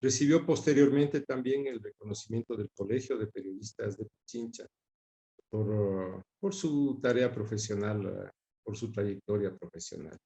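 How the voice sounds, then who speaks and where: Older guy from quito, ecuadorian accent, mellow voice, thoughtful interview chat, pauses and repeats words a bit. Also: on the low side, rough recording. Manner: measured, pausing and repeating words while searching for them. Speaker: interview guest recalling his father's career. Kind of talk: reflective conversational interview.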